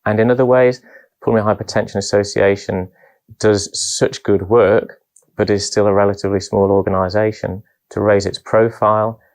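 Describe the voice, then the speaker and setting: Low, gravelly-voiced male doctor. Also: a little mellow. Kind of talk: conference talk.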